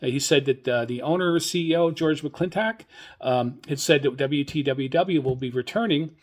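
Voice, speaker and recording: Older man, halting informal podcast host. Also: fairly high pitch. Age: older, 60s or above. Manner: hesitant, halting, rambling delivery. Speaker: radio hobbyist podcast host. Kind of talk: informal solo news commentary.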